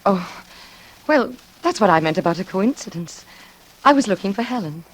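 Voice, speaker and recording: A woman's voice: mid-range voice, lively intonation, normal speed, natural voice, poor audio. An actress playing a genteel, nervous, lonely woman with wistful eagerness in a 1940s radio suspense drama. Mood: nervous, flustered. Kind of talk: 1940s radio suspense acting with confiding narration. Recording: vintage radio drama with music behind.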